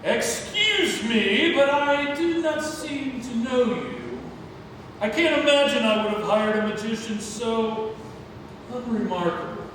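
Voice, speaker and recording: A man's voice: very high-pitched, lively intonation, takes it slowly, a bit bright, heavily acted. A man playing an arrogant, tyrannical circus ringmaster with a pompous, bullying manner in a theatrical play read-through. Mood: disdainful. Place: community theatre, large indoor room. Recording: noisy echoey hall, far from mic.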